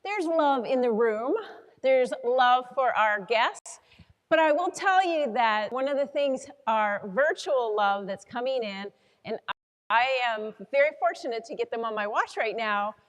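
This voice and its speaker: A woman's voice: fairly high pitch, lively intonation, measured pace. A woman hosting a live fundraising event, addressing the audience over a PA in an echoey hall. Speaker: event host, chiropractor.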